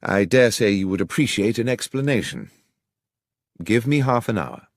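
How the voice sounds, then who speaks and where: A man's voice: on the low side, very animated, moderate pace, dry recording, clean studio sound, voice pushed up. A man with a British accent voicing a brusque, secretive Victorian detective in an audiobook, clipped and imperious. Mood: dismissive.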